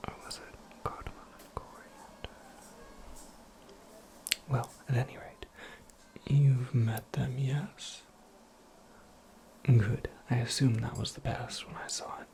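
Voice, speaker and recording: A performer voicing an eccentric, absent-minded witch in a breathy, creaky ASMR roleplay, rambling with wry, cryptic politeness. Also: medium pitch, steady tone, slow pace, pauses often, dark tone. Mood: politely whimsical. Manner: rambling, whimsical, politely formal, self-correcting. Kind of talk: soft-spoken ASMR roleplay monologue.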